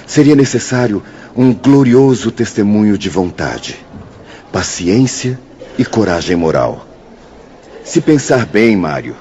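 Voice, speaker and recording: Mellow, low-voiced solemn male instructor. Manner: didactic, measured. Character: solemn male spiritual instructor, patient and moralizing. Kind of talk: audio drama acting. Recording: dull, noisy audio with ambience.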